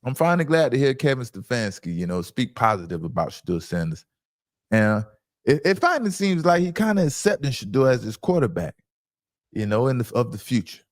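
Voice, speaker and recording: Male sports commentator, emphatic and opinionated, lively intonation, very dry recording. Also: mid-range voice, fairly quick, no room sound. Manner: emphatic, insistent, repeats phrases for stress. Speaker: sports commentator and show host. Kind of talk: opinionated sports reaction talk.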